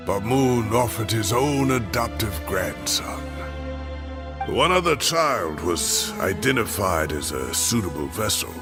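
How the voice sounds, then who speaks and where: An actor playing a weary, secretive old scholar in a video game, speaking in a grave, measured and dramatic way. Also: male voice, mid-range voice, vocal fry. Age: older. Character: weary secretive old male scholar, guilt-laden mentor.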